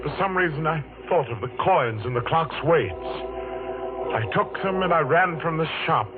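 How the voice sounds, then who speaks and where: A man's voice: a little high, lively intonation, moderate pace, lightly acted. An actor playing a haunted man telling of his past, in grave, suspenseful old-time radio narration. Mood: haunted dread. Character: haunted man recounting his dreadful boyhood. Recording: tinny vintage radio with music.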